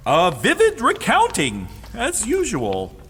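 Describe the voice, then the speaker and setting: Prim, high-voiced, pompous scholar. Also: male voice, wildly swooping pitch, slow, fairly bright tone, a little hiss or hum, heavily acted. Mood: skeptical. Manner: formal, pompous, precise wording. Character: prim, scholarly, indignant male historian. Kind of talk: audio drama acting. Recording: reverberant hall with music behind.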